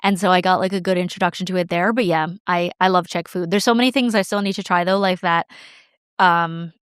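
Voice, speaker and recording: Chatty woman, clear and dry. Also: fairly low pitch, steady tone, brisk, dry recording. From United States. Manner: chatty, laughs often, trails off into restarts. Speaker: podcast guest. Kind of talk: casual interview chat.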